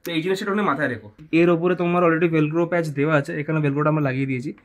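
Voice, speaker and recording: Fast-talking man, higher-pitched. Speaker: co-presenter demonstrating installation. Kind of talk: casual explanatory tutorial.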